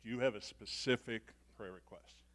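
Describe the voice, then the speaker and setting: A man's voice: lowish voice, moderate pace, a bit bright. A man interviewing a program student, asking short prompting questions.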